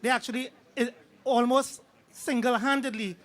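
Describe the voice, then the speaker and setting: Trinidadian guy, ambassador, formal speech, high bright voice. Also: fairly even intonation, moderate pace. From Trinidad and Tobago. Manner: stately, ceremonial. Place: outdoors on a city street.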